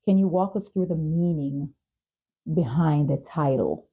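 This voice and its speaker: A woman's voice: sits low, a little slow, dark tone, husky. A woman hosting a talk show, asking conversational questions in an affirming way, on dull audio. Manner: affirming, with frequent short acknowledgements. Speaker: talk show host, interviewer.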